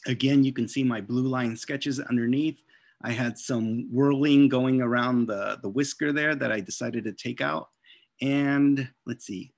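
A middle-aged man, an author and illustrator, casually presenting his artwork on a video call with an unhurried, halting delivery. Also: mid-range voice, vocal fry, dry recording. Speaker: children's book author and illustrator. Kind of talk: informal slide presentation of artwork.

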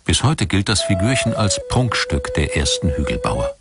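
Male documentary narrator: low, lively intonation, measured and articulate, music underneath.

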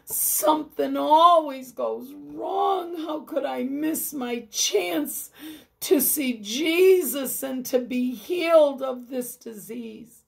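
A woman's voice: animated, drawn-out words, hardly any pauses, warm tone, heavily acted. A woman storyteller voicing a distraught male leper who cries out in despair. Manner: high, crying out in despair. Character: anguished male leper, frantic and tearful.

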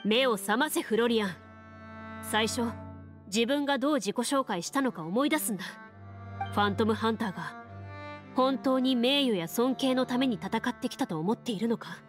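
A woman's voice: a little high, normal speed, lightly acted. A woman voicing a stern, composed young female champion in a Japanese game, firm, blunt and commanding. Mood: stern, urging. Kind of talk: Japanese anime game voice acting. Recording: music behind the voice. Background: game music.

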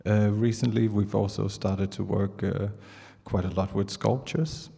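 A middle-aged Danish man with a Danish accent, giving a prepared artist's talk on stage in a halting, measured way. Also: fairly low pitch, little pitch movement, gravelly, warm tone, husky. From Denmark. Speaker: artist presenting at a conference. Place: large indoor theatre stage. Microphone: handheld microphone. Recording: reverberant auditorium PA sound.

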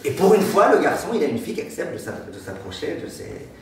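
A man in his thirties, a rabbi giving a lively storytelling lesson and joking with his class in a classroom. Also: fairly high pitch, fast talker, a little hiss or hum. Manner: animated, gestures broadly, jokes with the class. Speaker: rabbi teaching a Torah class. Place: classroom with a decorated board, indoors. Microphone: far camera. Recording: echoey room from far off.

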